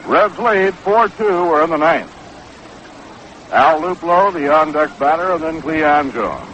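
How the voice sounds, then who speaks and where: An American man calling baseball play-by-play in 1960s radio style, with a measured, unhurried, factual delivery. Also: fairly high pitch, animated, a bit bright. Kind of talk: 1960s live radio sports commentary. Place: ballpark broadcast booth. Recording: dull, noisy 1960s AM radio broadcast.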